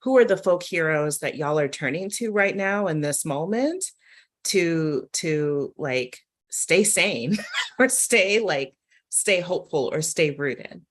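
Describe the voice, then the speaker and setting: Low-voiced woman hosting a discussion. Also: animated, slow. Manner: informal, conversational, addressing the group directly. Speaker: host and author leading a panel discussion. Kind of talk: casual spoken discussion.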